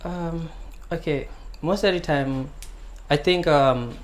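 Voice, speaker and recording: A man's voice: fairly high pitch, slow. A young Gambian man, a singer and songwriter, chatting casually as a guest in an interview, hesitant, with many fillers. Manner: relaxed, hesitant with many fillers, laughs easily.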